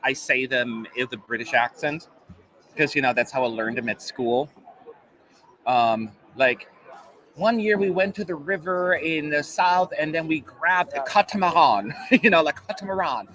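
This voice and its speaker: A man's voice: fairly high pitch, a little slow, a bit bright, poor audio. A man in his forties, German-born and living in America, with a slight German accent under mostly American speech, chatting casually as a live-stream host with many fillers. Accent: German, mostly American-sounding.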